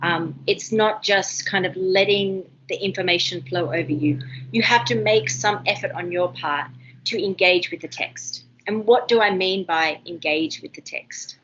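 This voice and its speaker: A woman with an Australian accent leading an online university seminar, talking fast and excitedly with many ums and restarts. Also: fairly low pitch, highs cut off, slight background noise. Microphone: video call.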